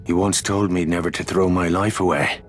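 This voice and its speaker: An actor playing a stubborn, gruff Irish saboteur in a video game, blunt and informal in his delivery. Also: sits low, steady tone, fairly quick, soft background music, natural voice. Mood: stubborn, determined. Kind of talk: dramatic video game acting.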